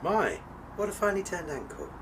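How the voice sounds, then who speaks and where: A man playing a pompous, fussy British gentleman adventurer in an audio drama, florid and old-fashioned in manner. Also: high-pitched, wildly swooping pitch, a little fast, noisy background. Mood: genteel.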